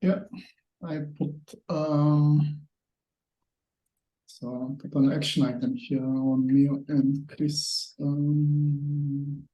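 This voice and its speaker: Guy running a work call, really slow and halting, soft dark voice. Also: on the high side, flat delivery, reverberant, dull audio. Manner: halting, frequent pauses. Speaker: meeting chair. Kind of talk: work meeting discussion. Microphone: online call.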